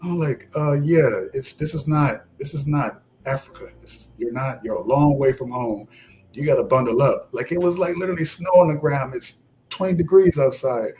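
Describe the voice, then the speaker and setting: A man telling a story in a lively, casual way and acting out the voices of the people he quotes. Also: mid-range voice, moderate pace, slight background noise. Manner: animated storyteller who acts out quoted voices. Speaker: podcast co-host. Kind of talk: casual chat.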